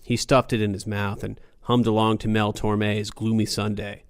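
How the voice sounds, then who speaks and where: Gravelly guy reading a hardboiled detective story, gritty and dramatic, super dry close sound. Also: on the low side, level tone, moderate pace, dry recording. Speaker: audiobook narrator. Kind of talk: first-person noir story narration.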